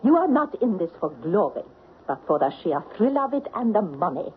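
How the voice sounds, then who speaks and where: A shrewd stage diva of old radio mystery played by a woman, bold and theatrical. Her pitch swoops freely as she coolly taunts her opponent. The sound is thin and phone-like, with light hiss. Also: sing-song, normal speed, lightly acted. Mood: taunting, assured. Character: shrewd, bold French stage actress confronting a killer. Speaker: radio drama actress. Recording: tinny, noisy old radio recording.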